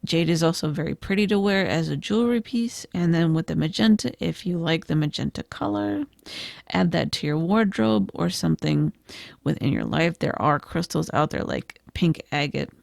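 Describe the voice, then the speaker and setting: A woman losing her voice gives a gentle, reflective tarot reading, with frequent "I feel like" and "kind of". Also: low voice, animated, moderate pace, vocal fry, dry recording, studio quality. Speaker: tarot reader. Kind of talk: pick-a-card tarot reading.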